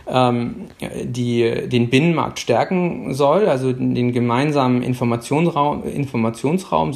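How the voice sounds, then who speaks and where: A middle-aged man from Hamburg, heard over a phone line as a podcast guest. His voice has a gravelly rasp, and he talks in a halting way, leaning on fillers as he explains. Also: medium pitch, darkish tone. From Hamburg, Germany. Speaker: guest, policy official. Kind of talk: interview answers.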